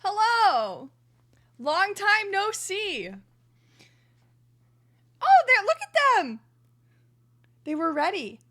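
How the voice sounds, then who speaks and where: A hoarse woman streamer chatting casually to her livestream in a chatty, self-deprecating way from a room at home. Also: extremely high pitch, very animated, very slow, pauses often, bright, forceful tone.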